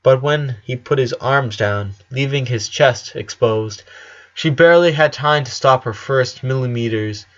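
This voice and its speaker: A man's voice: mid-range voice, measured pace, dull audio. A man narrating a story in an earnest, dramatic audiobook reading. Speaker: narrator of a fan fiction story.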